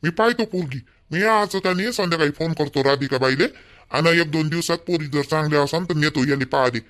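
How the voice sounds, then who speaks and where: A man's voice: a little high, brisk, fairly bright tone, natural voice. A man playing an insistent village uncle in a cartoon, reasoning persuasively in Vidarbha Marathi.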